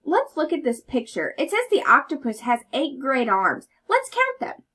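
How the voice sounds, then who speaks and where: A woman's voice: high voice, wildly swooping pitch, normal speed, bright, forceful tone. A woman reading a children's story aloud and teaching as she goes, explaining words and asking questions. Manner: instructive, inviting listeners to think.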